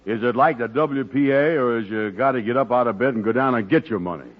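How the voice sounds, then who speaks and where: An actor playing a slow-witted, gullible, literal-minded man who asks naive questions and muddles words in an old radio sitcom. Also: medium pitch, lightly acted. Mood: puzzled. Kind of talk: 1940s radio sitcom acting. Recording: noisy, muffled old radio broadcast.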